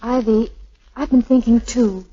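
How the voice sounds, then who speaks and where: Soft, high young bride-to-be. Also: female voice, steady tone, measured pace, husky. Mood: anxious. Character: tender, anxious young bride-to-be, affectionate with her sister. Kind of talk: 1940s radio drama acting. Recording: dull 1940s radio recording.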